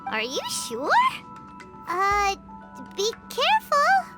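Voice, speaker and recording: Super squeaky little fairy girl, cheeky chatterbox, swoops all over, anime game style, music behind. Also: huge pitch swings, takes it slowly, heavily acted. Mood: worried. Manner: exclamatory, refers to self in third person. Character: small excitable chatty fairy companion girl, childlike and cheeky. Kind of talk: animated game voice acting.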